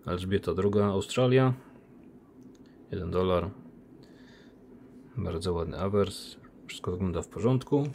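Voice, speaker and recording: A man unboxing silver coins, talking casually on a phone recording with a relaxed, chatty manner. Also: low voice, a little slow, gravelly, pauses often, slight background noise. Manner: relaxed, chatty, with many diminutives and fillers. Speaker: coin collector unboxing silver. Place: indoor room by a window. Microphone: phone camera.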